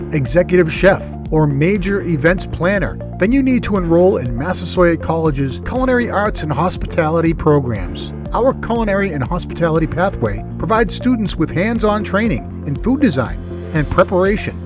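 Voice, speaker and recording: A man's voice: fairly high pitch, very animated, normal speed. A man reading a scripted promotional spot as an advertisement announcer. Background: loud-ish music bed.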